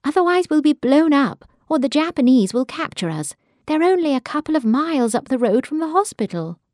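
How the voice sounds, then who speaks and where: A woman's voice: on the high side, animated, normal speed, a little mellow, dry recording, clean studio sound, pitched above the performer's own voice, natural voice. A woman voicing an urgent young daughter begging her mother to flee. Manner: pleading, urgent.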